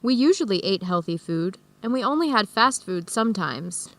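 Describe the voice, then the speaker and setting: An actress playing a friendly, even-tempered American woman who explains things in a measured way in an educational radio drama. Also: medium pitch, no echo. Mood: easygoing. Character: friendly American woman hosting Ethiopian friends, easygoing, tolerant. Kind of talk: educational radio drama dialogue, clearly worded for learners.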